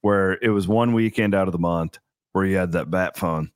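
A man in his late thirties with a fairly low voice, hosting a podcast. He talks in energetic bursts, often restarting a sentence. The sound is dry and close to the mic. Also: moderate pace, smooth, no echo. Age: 30s. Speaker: podcast host. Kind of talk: casual chat.

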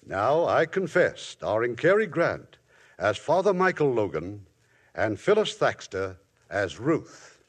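A man's voice: mid-range voice, slow. A man hosting an old radio theatre show, introducing the play and reading the sponsor's message in a polished, promotional manner. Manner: smooth, promotional, lively delivery. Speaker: producer and host. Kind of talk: formal 1950s radio introduction.

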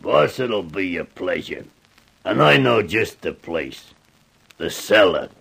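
A brutal gangster's henchman with a very low, creaky voice. He drawls slowly through tough-guy slang, relishing the menace. It is 1950s radio crime drama with clear background noise and clicks. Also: sing-song, full character voice. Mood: menacing relish. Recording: noisy vintage radio recording with clicks.

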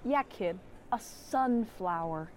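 A woman playing a small, sardonic, quick-witted halfling bard in a fantasy audio drama, with a theatrical way of talking. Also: mid-range voice, animated, a little mellow. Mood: smug.

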